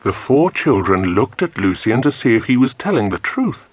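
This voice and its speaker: A British man narrating a children's adventure audiobook in an expressive, measured scripted reading. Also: mid-range voice, breathy edge, no room sound.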